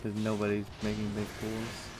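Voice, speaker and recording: A man's voice: fairly low pitch, monotone, moderate pace, very noisy. A man chatting casually as he streams a game, rambling and thinking aloud. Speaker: livestream host. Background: music.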